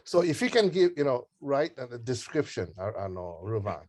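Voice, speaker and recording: Halting middle-aged man on a group call. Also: medium pitch, sing-song, a little mellow. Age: 50s. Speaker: organiser leading a group planning call. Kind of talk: casual group call discussion.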